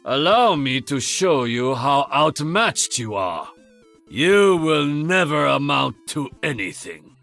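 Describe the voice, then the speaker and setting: An actor playing a proud, stern master archer in a video game, with a Japanese accent and a formal, haughty delivery. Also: male voice, on the high side, gravelly, sharp tone, full character voice. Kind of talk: video game character voice lines.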